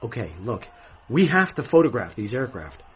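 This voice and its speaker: An actor playing an earnest reporter, direct and polite but urgent, in a radio drama. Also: male voice, mid-range voice, sing-song, normal speed, close to an everyday voice. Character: urgent, earnest American newspaper reporter, adult man. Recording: muffled, noisy radio drama scene.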